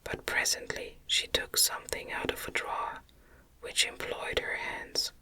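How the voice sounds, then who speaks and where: Slow whispering woman, ASMR reading. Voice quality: breathy, unvoiced whisper. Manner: gentle, unhurried, careful literary reading. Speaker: audiobook narrator, ASMR reader.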